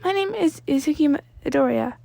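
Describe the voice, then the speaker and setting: A woman's voice: high voice, expressive, fairly quick, vocal fry, warm tone, no echo, pitched above the performer's own voice, full character voice. An awkward, polite teenage boy played by a woman narrator talks in a raised, frazzled voice. He trips nervously over his words in storybook dialogue, with a dry, close sound. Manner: stammering, nervous. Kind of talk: storybook character dialogue in fan fiction reading.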